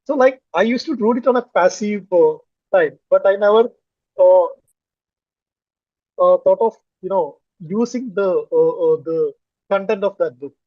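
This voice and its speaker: A high-voiced man from Kerala speaks English with a Malayali accent, pausing often on fillers and restarting his sentences. The sound is dry and dull, like muffled video call audio. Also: no echo. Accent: Indian English, Malayali. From Kerala, India. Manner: hesitant, many fillers and restarts. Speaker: English learner, finance manager. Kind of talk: casual conversation on a video call.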